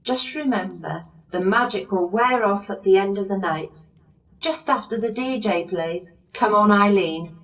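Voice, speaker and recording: Fairy godmother for audio panto, female actor: cheery, no-nonsense, theatrical, unhurried delivery, slightly off-mic. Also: mid-range voice, recorded from a distance, lightly acted, rough recording. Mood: brisk, bossy. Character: brisk, cheery fairy godmother who often talks in rhyme.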